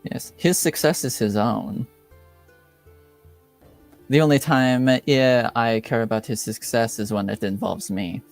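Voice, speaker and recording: A man voicing a self-interested woman fixer, formal, measured and evasive, in a tabletop game. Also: mid-range voice, creaky, faint music behind. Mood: cold, self-assured. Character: cool, guarded, self-interested woman fixer and debt collector.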